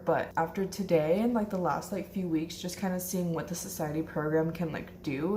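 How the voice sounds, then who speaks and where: Chatty young woman, a bit hoarse. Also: deep voice, darkish tone, reverberant, a little hiss or hum. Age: 20s. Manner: chatty and upbeat, with many fillers. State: slightly hoarse. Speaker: law student vlogger. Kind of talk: casual vlog chat. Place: home kitchen.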